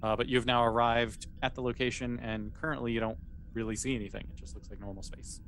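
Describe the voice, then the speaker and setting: A man's voice: mid-range voice, steady tone, fast talker, a bit bright. Casual game master, man with background noise. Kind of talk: casual improvised tabletop game narration.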